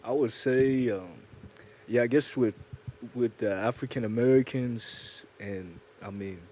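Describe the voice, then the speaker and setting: A man's voice: medium pitch, unhurried, mellow, slight background noise. A man from Ghana, a panel guest speaking hesitantly with many fillers in a casual group chat.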